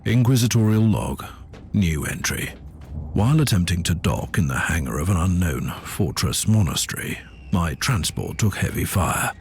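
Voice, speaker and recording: A man playing a grave, devout inquisitor psyker in a video game, speaking in a solemn, formal, measured way as if dictating a log. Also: sits low, slight background noise. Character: grave, devout male inquisitor psyker investigating a derelict fortress. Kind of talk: scripted game dialogue and audio log narration.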